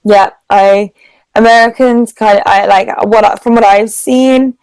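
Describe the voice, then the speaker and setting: A woman's voice: mid-range voice, steady tone, measured pace, a little mellow, smooth, no room sound, rough recording. A woman from England with a British accent, chatting casually as an online English tutor and using simple phrasing for a learner. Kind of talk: casual conversational lesson. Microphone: video call.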